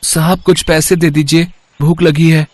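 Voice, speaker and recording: A man's voice: a little high, flat delivery, unhurried, darkish tone. A man voicing a poor, humble young beggar, deferential and earnest, in a moral story. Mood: pleading. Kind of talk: Hindi moral story voice acting.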